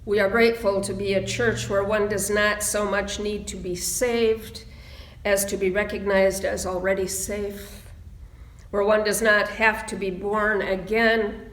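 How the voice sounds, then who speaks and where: A woman minister leading a reflective prayer and meditation in a solemn, measured way with deliberate phrasing. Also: medium pitch, little pitch movement. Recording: roomy, echoing sound.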